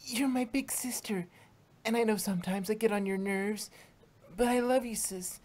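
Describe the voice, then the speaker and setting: A man's voice: high voice, moderate pace, darkish tone, natural voice. A man voicing a young pony filly earnestly pleading with her big sister.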